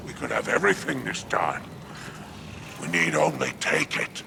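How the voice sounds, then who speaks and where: An actor playing a greedy, scheming alien crime boss in a video game, with a gravelly voice and a smug, florid, wheedling drawl. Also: male voice, medium pitch, huge pitch swings, moderate pace, noisy background, heavily acted. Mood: oily persuasion. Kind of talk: video game cutscene voice acting.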